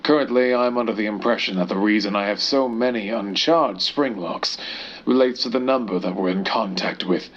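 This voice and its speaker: A man playing a cold, scheming scientist who dictates secret experiment logs in a measured, disdainful, clinical manner, as an audio horror monologue. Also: on the low side, natural voice. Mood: disdainful, calculating. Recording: dull, muffled lo-fi tape-style recording.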